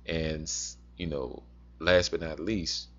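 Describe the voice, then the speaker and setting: A man with an extremely deep voice gives informal religious advice. He talks haltingly, circling back to repeat his cautions like a teacher addressing his flock. The recording is dull and muffled. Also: fairly even intonation, fairly bright tone. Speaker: religious teacher advising men. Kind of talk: informal sermon-like advice talk.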